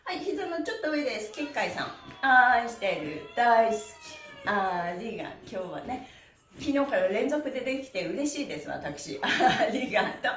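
High, animated woman instructor, roomy sound. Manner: cheerful, animated, calling out drawn-out chants. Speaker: stretching instructor and pantomime teacher. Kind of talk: livestreamed exercise class with rhythmic chanted counts. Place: small indoor studio with bare wall. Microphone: camera a few metres away. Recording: roomy, distant microphone, faint music.

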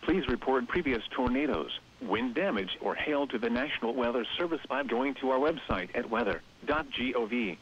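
A synthetic man's voice reading a scripted emergency weather bulletin in a measured, impersonal way over weather radio. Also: mid-range voice, animated, slight background noise. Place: automated radio broadcast. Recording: muffled, lo-fi weather radio broadcast.

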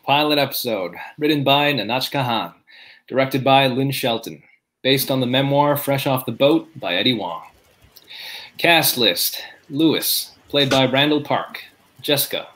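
A man's voice: medium pitch, sharp tone, rough recording. A man in his thirties reading aloud as the narrator of a table read, in scripted style. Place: room at home, indoors.

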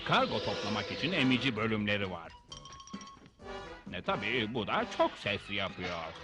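A man voicing a kindly, excitable inventor professor in a dubbed cartoon, flustered and given to hesitant exclamations. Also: on the low side, normal speed, over loud music. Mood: proud, excited.

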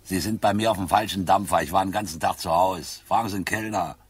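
Evasive, defensive musician, rather low and husky, guarded, crime radio drama. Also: male voice, quick pace. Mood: uneasy, evasive. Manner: guarded, hesitant under pressure. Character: defensive, evasive male bar pianist. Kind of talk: German crime radio play acting.

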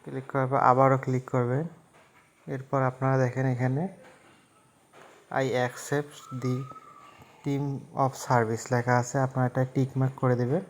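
A man's voice: medium pitch, level tone, measured pace, long pauses, slight background noise. A man narrating a phone app tutorial step by step, in a repetitive, instructional way. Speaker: tech tutorial host.

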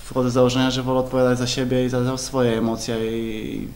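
A young man, a football player, answering questions at a press conference, halting with many repeated words and fillers. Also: mid-range voice, monotone, a little mellow, slight background noise. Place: club press room, indoors. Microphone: table mics in front.

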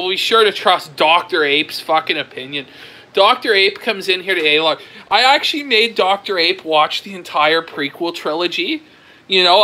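A man's voice: on the high side, sharp tone, rough recording. A man reading viewer chat aloud and teasing the host in a sarcastic way.

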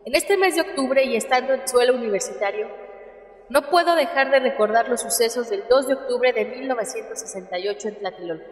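A woman's voice: on the high side, a little fast, lightly acted. A woman acting an impassioned activist leader giving a solemn, formal speech in a radio drama, with a Mexican accent. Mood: solemn, indignant. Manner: formal, declamatory. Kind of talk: radio drama political oratory. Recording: echoey hall reverb.

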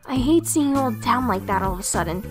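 An encouraging, cheerful little fox creature from a cartoon , voiced by a woman, high and lively, coaxing in a breezy, reassuring way. Music plays clearly behind the voice. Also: fast, close to an everyday voice. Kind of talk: animated comic dub acting.

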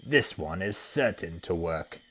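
Male reader doing a deep, scheming storybook crocodile, gloating and muttering. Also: expressive, a little slow, a bit bright, slight background noise. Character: greedy boastful male crocodile, sly.

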